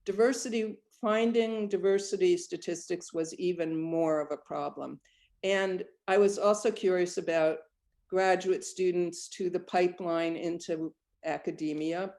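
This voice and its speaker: An older woman composer answering interview questions thoughtfully, often pausing with ums as she searches for words. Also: fairly low pitch, fairly even intonation, a little mellow. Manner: reflective, searching, with frequent ums.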